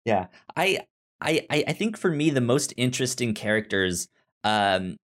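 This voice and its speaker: A man co-hosting a casual TV discussion podcast, speaking haltingly with stammered, repeated syllables and words. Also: medium pitch, sing-song, a bit bright. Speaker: podcast co-host.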